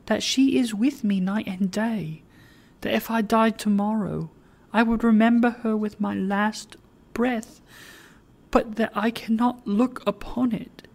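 A reader voicing a tender young woman who pleads in high, emotional tones. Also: normal speed, creaky, hardly any pauses. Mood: pleading.

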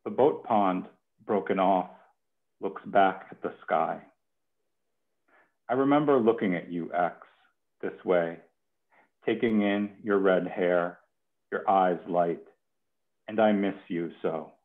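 A man's voice: low-pitched, slow, long pauses. A man in his thirties hosting a poetry reading from home over a headset on a video call. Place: home kitchen. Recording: muffled phone-like video call.

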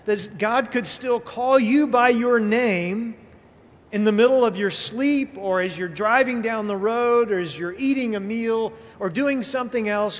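A middle-aged man preaching a sermon as a pastor, in a conversational storytelling style with emphatic pauses and rhetorical questions. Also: high-pitched. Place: church sanctuary at a pulpit. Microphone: pulpit gooseneck microphone. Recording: reverberant church hall, clear background noise.

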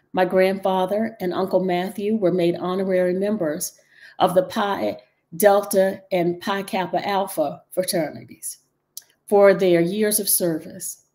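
Middle-aged woman, warm mellow voice, measured earnest storytelling, video call webcam from a home dining room. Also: medium pitch. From Chapel Hill, North Carolina. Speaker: local historian giving a talk. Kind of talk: prepared historical talk over video call.

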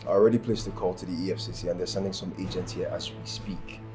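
An actor with a Nigerian accent playing a loyal, composed assistant and friend in a screen drama. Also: male voice, low voice, steady tone, fast talker, over music, close to an everyday voice. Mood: firm, calm.

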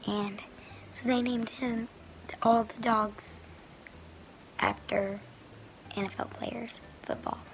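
A young teenage girl chatting casually in a home vlog. Her voice is hoarse and she rambles in a soft, breathy way. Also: a little high, little pitch movement, slow pace, pauses often, slight background noise, rough recording. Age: young teen girl. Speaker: vlogger. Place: bedroom at home. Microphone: phone camera held close.

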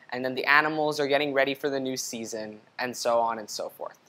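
A young man reads a picture book aloud for children. He speaks in an instructive storytelling way, pausing to address the young listener directly. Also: on the high side, a bit bright. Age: young adult. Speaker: storybook reader. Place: indoor studio room. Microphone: lapel mic.

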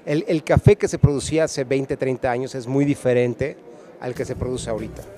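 Emphatic Mexican man of medium pitch. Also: fairly even intonation, fairly quick, mellow, soft background music. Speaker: trade show director. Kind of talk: spoken interview. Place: large indoor expo hall. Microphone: handheld interview microphone.

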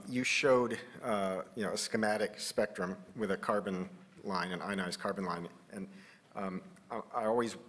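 A man's voice: sits low, a bit bright. A man moderating a press conference from a podium, in a plain, conversational way with many fillers, picked up on a podium microphone in a conference room.

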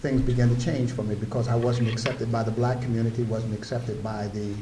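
A man's voice: mid-range voice, level tone, brisk, background noise. An older man giving a storytelling lecture at a podium microphone, sharing personal anecdotes with asides to the audience. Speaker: guest lecturer. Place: lecture room at a wooden podium. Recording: roomy lecture room.

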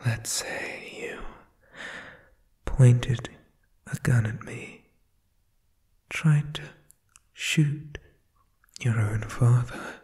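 A demonic father in an ASMR horror drama. He whispers very slowly, with a doting, mock-tender softness. Every phrase is sinister and quietly sadistic. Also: mid-range voice. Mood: softly cruel.

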